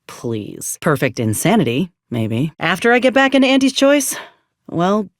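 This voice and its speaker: An actress playing a tough, wry frontier combat medic in a video game, with a folksy, slangy, sardonic way of talking. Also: female voice, lowish voice, expressive, unhurried, dry recording, clean studio sound. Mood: dry, sardonic. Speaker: video game companion character. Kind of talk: game voice acting, combat barks and dialogue.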